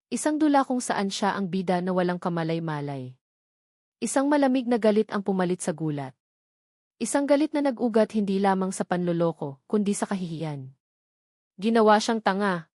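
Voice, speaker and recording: A woman's voice: mid-range voice, expressive, moderate pace, long pauses, a little mellow, no room sound, studio quality. A woman with a Filipino accent reading a scripted love story as a dramatic narrator.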